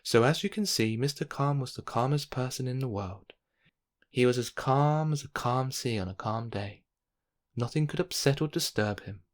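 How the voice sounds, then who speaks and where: A man narrating a children's bedtime story in an expressive, measured read-aloud style. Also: medium pitch.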